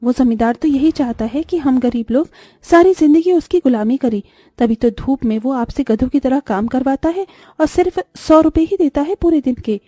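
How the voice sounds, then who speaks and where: A poor, dutiful young daughter from a moral-story cartoon, acted by a woman. She speaks earnestly and gently in a high, very mellow voice, recorded dry over music. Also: expressive, moderate pace, dry recording. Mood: indignant, pleading.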